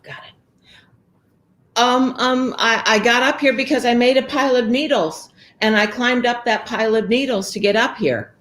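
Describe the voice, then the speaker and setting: A woman voicing a scared, quick-witted little girl who stammers and hesitates. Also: a little high, fairly bright tone, pitched above the performer's own voice, natural voice. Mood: nervous. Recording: roomy echo.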